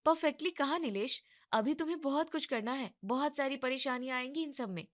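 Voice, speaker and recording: A practical young wife voiced by a woman with a clearly raised pitch. She is coaxing and matter-of-fact, speaking as in an animated domestic moral tale. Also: a little fast, close to an everyday voice. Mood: firm, practical. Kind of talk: Hindi animated moral story dialogue.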